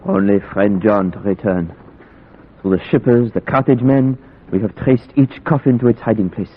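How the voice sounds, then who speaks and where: A man playing a grave, resolute vampire hunter with formal, deliberate phrasing in a 1940s radio horror drama. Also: fairly low pitch, slight background noise, close to an everyday voice. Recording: tinny, narrow old radio recording.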